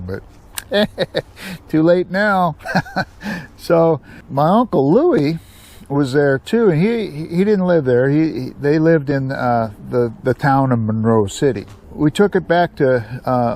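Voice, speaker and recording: An American man hosts a storytelling channel in a casual, homespun way. His voice sits at an average pitch for a man. He rambles along in plain, colloquial speech, like someone sharing personal memories. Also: a little mellow. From United States, Seattle area. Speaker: storyteller, YouTube channel host.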